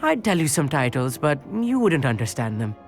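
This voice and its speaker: Bookish teenage wizard boy, earnest, slightly pompous, very animated delivery, game dialogue. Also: mid-range voice, moderate pace. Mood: excited, proud. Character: eager, bookish, polite teenage wizard schoolboy. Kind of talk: fantasy video game dialogue. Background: faint background music.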